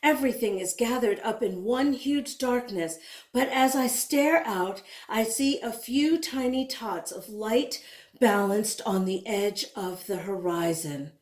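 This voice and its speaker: A woman reads a picture book aloud for children at a slow, measured pace. Her phrasing is dramatic and deliberate, with words stretched out for emphasis. The recording has a noticeably roomy, echoing sound. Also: fairly high pitch, darkish tone. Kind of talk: expressive storybook read-aloud.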